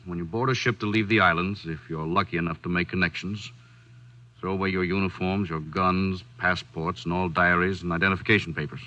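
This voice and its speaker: Deep, forceful stern army general. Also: male voice, fairly even intonation, dull audio. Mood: instructing. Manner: formal, measured, authoritative. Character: stern, formal commanding army general, grimly resolute. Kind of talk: 1940s radio drama acting.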